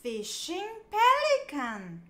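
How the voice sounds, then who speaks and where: A woman reading a picture book aloud for young children, in a sing-song, clearly enunciated way. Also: high voice, wildly swooping pitch, slow, sharp tone. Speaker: children's storybook reader.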